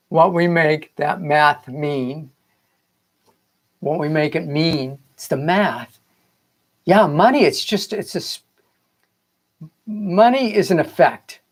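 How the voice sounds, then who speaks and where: A middle-aged or older man with a Boston accent speaks casually and earnestly as a spiritual coach on a livestream, rambling and repeating phrases for emphasis. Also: fairly high pitch. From Boston area, United States. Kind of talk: casual motivational livestream talk.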